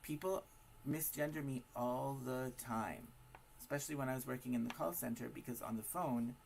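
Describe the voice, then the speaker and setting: A hoarse man with a raspy voice, giving a casual, emphatic opinion monologue to camera full of rhetorical questions. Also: mid-range voice, moderate pace, darkish tone, slight background noise. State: hoarse from smoking and partying. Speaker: vlogger.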